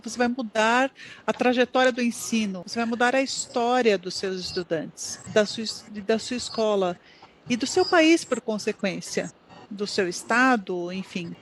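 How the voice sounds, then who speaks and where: Halting conference interpreter. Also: medium pitch, slight background noise. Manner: halting, frequent restarts and repeated words while keeping up with the speaker. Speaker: simultaneous interpreter at an education conference talk. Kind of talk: live simultaneous interpretation of a lecture.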